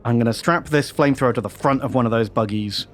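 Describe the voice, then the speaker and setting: A man's voice: medium pitch, fast talker. A man playing a tabletop game, chatting casually with many hedges. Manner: casual, hedging with "like". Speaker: player.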